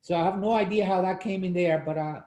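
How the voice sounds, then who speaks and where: Halting Cuban-born older man, echoey. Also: high voice, background noise. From Cuba. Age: 60s. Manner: halting, many fillers and restarts, explanatory. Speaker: discussion leader. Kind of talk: casual group discussion on a video call.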